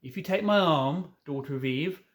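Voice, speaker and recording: A man's voice: a little high, normal speed, natural voice. A man voicing a friendly, melancholy faun from a children's storybook, speaking in courteous, old-fashioned formal phrases. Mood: eager, hospitable. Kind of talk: children's storybook read aloud.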